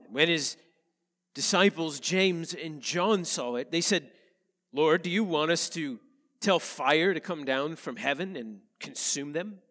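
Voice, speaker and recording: A male pastor, a little nasal from a head cold, reading scripture and preaching in an earnest, measured way. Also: a little high, animated, fairly bright tone, long reverb tail. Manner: earnest, measured preaching with slow emphatic phrases. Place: church sanctuary.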